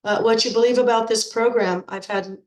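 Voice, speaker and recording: A woman's voice: fairly high pitch, fairly even intonation, a little fast, roomy sound, low-quality recording. Stuffy-voiced middle-aged woman coach. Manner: chatty, repeats words when restarting. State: stuffed up with a cold. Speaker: nutrition coach. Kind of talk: informal coaching talk to program members.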